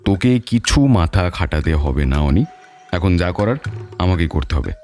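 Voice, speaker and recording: An actor playing a calm, commanding detective and mentor in an audio story, speaking in a measured, authoritative way. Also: male voice, deep voice, soft background music. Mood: calm, decisive.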